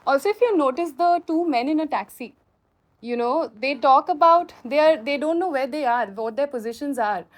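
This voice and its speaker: A woman with an Indian accent, a filmmaker speaking spontaneously in a question-and-answer session, explaining things through animated storytelling. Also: high voice, moderate pace.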